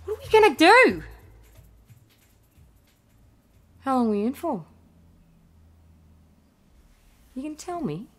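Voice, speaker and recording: A woman's voice: high voice, huge pitch swings, fast talker, a bit bright, heavily acted. An Australian woman acting in a radio play as a wary, streetwise young runaway, plain-spoken and colloquial. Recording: music under the voice.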